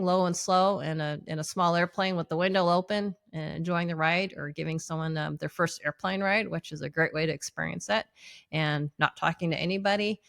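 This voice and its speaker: A woman with a slightly low voice talks as a guest in a relaxed, conversational interview. She recounts her own experience as an instructor, and the sound is close and dry. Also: creaky, no room sound. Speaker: pilot and flight instructor guest.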